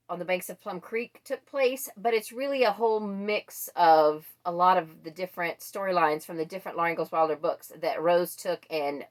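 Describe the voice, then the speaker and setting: A woman book vlogger chatting casually and thinking aloud as she goes. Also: mid-range voice. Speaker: book vlogger presenting a monthly reading wrap-up. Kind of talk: casual chat to camera.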